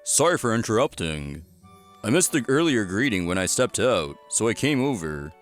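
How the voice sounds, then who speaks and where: A man's voice: fairly low pitch, sing-song, moderate pace. A man playing a hearty, courteous restaurateur in his fifties in a manga drama. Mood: jovial, courteous. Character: jovial fish-trading restaurateur in his fifties. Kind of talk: manga voice acting. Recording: music behind the voice.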